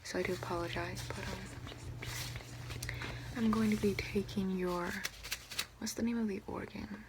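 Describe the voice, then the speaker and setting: A young woman speaking softly and close up in an ASMR doctor roleplay, gentle and hushed, often slipping toward a whisper. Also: medium pitch, fairly even intonation, a little slow, pauses often, background noise. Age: young adult. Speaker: ASMR performer playing an alien doctor. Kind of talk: ASMR roleplay, soft-spoken medical check-up. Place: small room at home, indoors.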